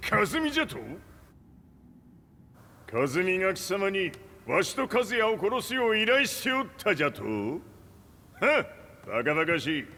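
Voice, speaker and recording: An actor playing a scornful old patriarch fighter who scoffs in old-fashioned speech. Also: male voice, high-pitched, big pitch swings, brisk, long pauses, sharp tone, long reverb tail, heavily acted.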